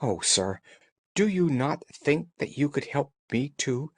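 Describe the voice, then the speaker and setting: A man's voice: medium pitch, sing-song, unhurried, breathy edge, dry recording, pitched above the performer's own voice, full character voice. Man voicing a distraught, pleading genteel young woman. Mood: desperate, pleading. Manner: agitated, pleading, formal. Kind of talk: audiobook character dialogue.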